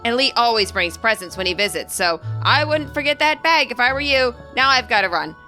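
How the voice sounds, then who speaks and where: A woman voicing an excitable, friendly, boastful teenage boy from a game, talking in an eager, chummy way. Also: fairly high pitch, fairly quick, faint music behind. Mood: eager, excited.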